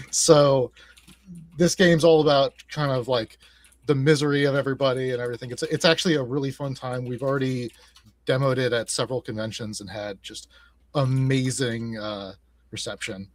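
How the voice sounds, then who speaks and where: A man's voice: medium pitch, fairly even intonation, moderate pace. A man speaking as an interview guest, casually explaining his work as a game designer.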